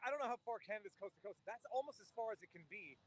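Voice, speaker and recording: Fast-talking man interviewing on the street. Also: high voice, lively intonation, a bit bright, poor audio. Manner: quick, friendly, stumbling restarts. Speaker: livestream host interviewing protesters. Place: outdoors at a street protest. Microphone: handheld camera.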